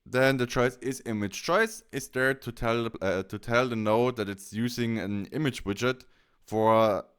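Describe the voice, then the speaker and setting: A man presenting a software tutorial, explaining things step by step and often correcting himself as he goes. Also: medium pitch, unhurried, clean tone.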